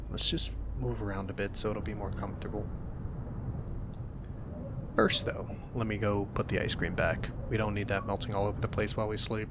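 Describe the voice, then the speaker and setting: A young man with a lowish voice talks softly and gently, teasing in a playful way. It is an intimate ASMR roleplay delivery. A steady hiss runs under the recording. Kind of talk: soft-spoken ASMR roleplay.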